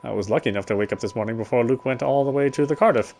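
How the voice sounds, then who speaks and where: A man's voice: mid-range voice, racing, creaky, a little mellow. A man reading first-person visual novel narration aloud as he plays the game. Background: background music.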